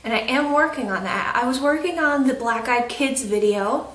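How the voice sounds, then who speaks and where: A woman's voice: high voice, moderate pace, a bit bright, far from the mic. A young woman, chatting casually and rambling with lots of fillers in a home update video. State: stuffy nose. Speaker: craft vlogger. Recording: roomy echo, off-mic.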